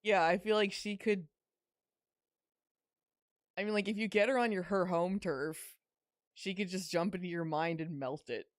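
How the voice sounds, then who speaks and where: A woman's voice: fairly low pitch, normal speed, long pauses, dry recording, studio quality. A woman co-hosting a podcast, giving casual, chatty commentary on a video game. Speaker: podcast co-host.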